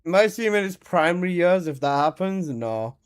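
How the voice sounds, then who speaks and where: A man's voice: sits high, lively intonation, normal speed, clean tone. A man in his twenties streaming a game, chatting casually with his chat and bantering with lots of swearing and laughter. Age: 20s.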